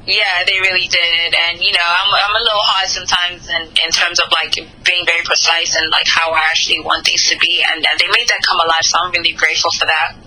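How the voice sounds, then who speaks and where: A woman's voice: lowish voice, level tone, quick pace, few pauses, sharp tone, soft background music, rough recording. A woman singer being interviewed, talking casually and rambling, with many fillers and restarts. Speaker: singer and songwriter, guest.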